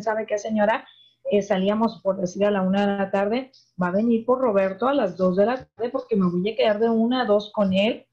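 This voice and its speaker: A woman's voice: medium pitch, mellow. A woman, a psychologist explaining things to parents in a virtual talk and often asking them rhetorical questions. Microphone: video call. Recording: muffled video call audio.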